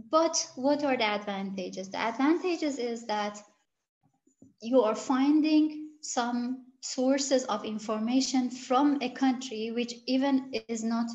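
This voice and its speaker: Woman researcher with a fairly high voice. Gilaki speaker, careful and halting, on lo-fi video-call audio. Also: darkish tone. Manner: halting, searching for words, many restarts. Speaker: interviewed researcher. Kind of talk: interview answers.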